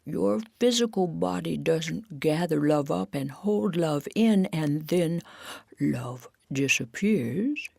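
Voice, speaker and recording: A woman voicing a serene, reverent female animal sage in a storybook, speaking slowly and meditatively. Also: low voice, expressive, gravelly, darkish tone, no echo, full character voice.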